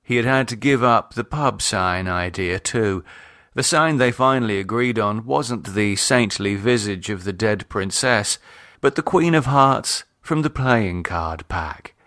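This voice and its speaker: A man's voice: on the low side, animated, a little slow, a bit bright, dry recording, studio quality. A man with a British accent reading scripted audiobook narration as its author and narrator.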